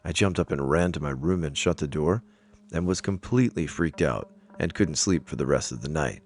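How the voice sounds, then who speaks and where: A man's voice: a bass voice, normal speed, a little mellow. A man narrating first-person scary stories from a script as a storyteller. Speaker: narrator reading online stories aloud.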